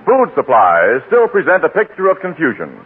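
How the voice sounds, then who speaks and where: A man's voice: fairly high pitch, huge pitch swings, normal speed, breathy edge. An American man working as a radio announcer, reading a script in polished 1940s broadcast style. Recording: tinny 1940s radio with faint music.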